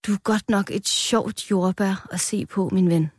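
An elderly storybook strawberry, voiced by a woman, friendly and curious but blunt. The delivery is measured and questioning, in a very dry recording. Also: mid-range voice, steady tone, breathy edge, no room sound, close to an everyday voice. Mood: amused.

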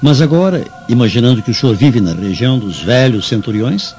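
An actor playing a suspicious, plotting husband and master in a radio drama, conspiratorial and commanding. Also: male voice, mid-range voice, lively intonation, fairly quick, darkish tone, natural voice. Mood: suspicious, determined. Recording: muffled audio with faint music.

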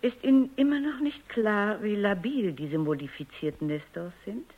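A woman's voice: mid-range voice, normal speed, lightly acted. An actress playing a stern, exacting middle-aged woman robot psychologist in a radio drama, speaking precisely and insistently. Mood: insistent, worried. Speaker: lead actor and first-person narrator. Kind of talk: radio drama acting and narration. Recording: dull, band-limited radio recording.

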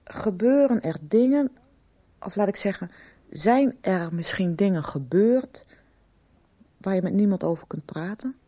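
A direct worried lady doctor in a radio soap, soft mellow voice, goes up and down a lot. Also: medium pitch, lively intonation. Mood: stern, concerned. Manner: direct, insistent, deliberate questioning. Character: probing, concerned female doctor questioning a teenage patient. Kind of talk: Dutch radio soap acting.

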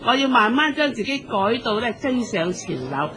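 A perky older woman in a Cantonese radio drama, determined to sound youthful and trendy. Her voice is fairly high, her delivery eager and boastful, with a Hong Kong accent. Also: very animated, normal speed, fairly bright tone, a little hiss or hum. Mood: proud, boastful.

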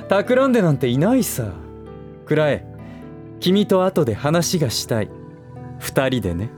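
A lilting, smooth-tongued schemer. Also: male voice, a little high, sing-song, slow pace, long pauses, faint music behind. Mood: sly, pleasant. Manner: polite, gentle phrasing with teasing condescension. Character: smooth, scheming adult male relative and businessman, teasing. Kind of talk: Japanese voice drama acting.

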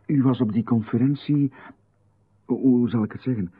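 A man's voice: mid-range voice, normal speed, breathy edge, natural voice. A man with a Flemish accent, playing a polite, hesitant playwright in a radio drama who is easily flustered. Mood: polite unease. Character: polite, flustered male playwright, anxious to please. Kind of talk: 1990s radio drama acting. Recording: dry, narrow, phone-like radio broadcast.

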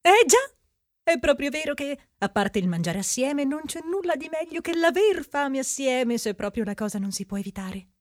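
A polite, anxious castaway in a high voice. The delivery is theatrical and fussily courteous, as in a stage comedy made for radio, recorded very dry. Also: female voice, very animated, moderate pace, no echo. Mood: courteous, relieved. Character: polite, timid, hungry middle-sized male castaway. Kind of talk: stage-style radio play acting.

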